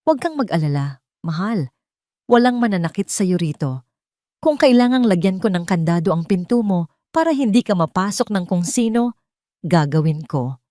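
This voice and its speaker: A woman's voice: lowish voice, expressive, normal speed, dark tone, dry recording, clean studio sound, natural voice. Woman acting out a cruel, scheming husband. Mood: falsely reassuring.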